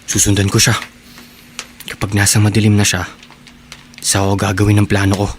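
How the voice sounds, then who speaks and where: An actor playing a nervous, desperate man plotting as he follows someone, in a hurried radio drama monologue. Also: fairly low pitch, completely flat delivery, a little hiss or hum, close to an everyday voice. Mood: nervous, scheming. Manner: tense hurried inner monologue. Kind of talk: Filipino radio drama acting. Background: music.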